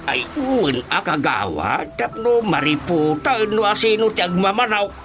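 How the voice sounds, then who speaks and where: A man's voice: high voice, sing-song, normal speed. Man acting an earnest, lilting Ilocano village elder. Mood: halting, insistent. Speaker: voice actor in a radio drama. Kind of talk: Ilocano radio drama comedy acting. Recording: music under the voice.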